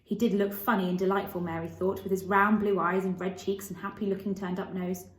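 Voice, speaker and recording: A woman's voice: mid-range voice, level tone, fairly quick. A woman narrating a children's novel as a storybook reader, in scripted read-aloud style. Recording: echoey room.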